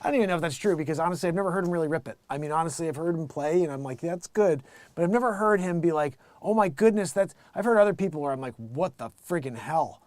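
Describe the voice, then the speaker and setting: A middle-aged American man hosting a casual livestream, rambling emphatically and excitedly in an unscripted monologue, often acting out bits of quoted conversation. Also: on the high side, fast, no echo. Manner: animated, rambling, emphatic, quotes himself and others. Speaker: live music show host and musician.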